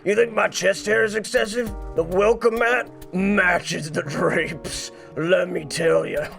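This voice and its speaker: Male actor as boozy old cartoon shopkeeper, very gravelly, deadpan, rambling, music underneath. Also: high voice, takes it slowly, heavily acted. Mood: drunken rambling. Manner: blunt, rambling, drunken deadpan. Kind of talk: anime parody dub comedy.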